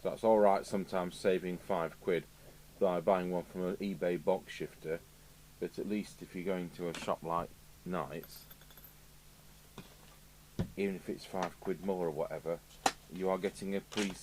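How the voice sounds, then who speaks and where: A man's voice: deep voice, fairly even intonation, normal speed, long pauses, darkish tone. A man with an English accent giving a casual, rambling workbench commentary as he thinks aloud, like a radio repair technician. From United Kingdom. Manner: rambling, musing aloud to himself. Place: indoor electronics repair workbench. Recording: clear background noise with electrical hum.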